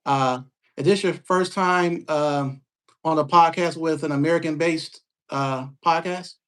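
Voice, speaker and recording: A man hosting a podcast, casually interviewing a guest with slangy, easygoing talk and frequent shout-outs. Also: fairly high pitch, steady tone, unhurried. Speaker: podcast host.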